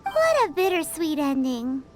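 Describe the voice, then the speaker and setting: Childlike floating fairy girl, extremely high squeaky voice, cheeky, lively pitch, video game, music behind. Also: measured pace. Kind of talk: video game character acting.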